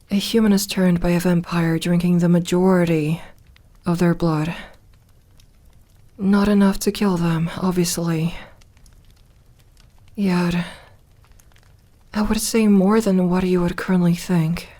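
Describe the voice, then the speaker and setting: Slow, soft-spoken lonely vampire woman, acted by a woman. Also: on the low side, steady tone. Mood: solemn, matter-of-fact. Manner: hesitant, measured, with reflective pauses. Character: lonely, grieving female vampire, solemn and confiding. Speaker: voice actor in an audio roleplay. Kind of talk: soft ASMR roleplay monologue.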